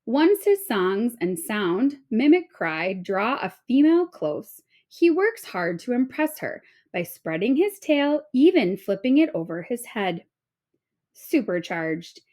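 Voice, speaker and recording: Female teacher for kids' nonfiction read-aloud: higher register, very animated intonation, clearly phrased. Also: takes it slowly. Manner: expressive, clearly phrased storytelling for children. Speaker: teacher reading a children's book aloud. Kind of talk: read-aloud of an informational children's book.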